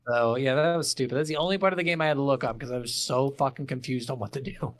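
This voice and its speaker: A man's voice: medium pitch, fast. A man co-hosting a video game podcast, chatting casually with heavy swearing and incredulous rants, acting out lines he quotes. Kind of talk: casual, profane game review chat.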